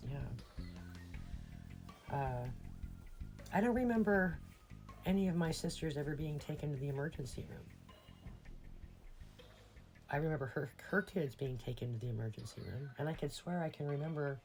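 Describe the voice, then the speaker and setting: Middle aged american lady rambling thru her own memories, conversational, guitar music clearly playing behind her. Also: a bass voice, a little mellow, poor audio. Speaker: personal storyteller. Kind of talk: casual autobiographical monologue.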